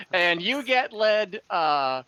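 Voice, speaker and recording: A man improvising narration as game master of an online tabletop game, haltingly searching for words. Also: sits high, sing-song, bright, forceful tone.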